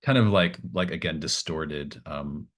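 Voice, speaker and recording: A man's voice: very deep voice, measured pace. A man acting as game master, improvising tabletop narration in a hesitant, thinking-aloud manner.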